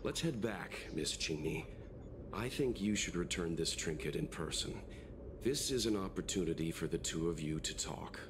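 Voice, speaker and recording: A man voicing a calm, reserved and considerate traveler in a video game, with a measured, courteous delivery. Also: low voice, background noise. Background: music.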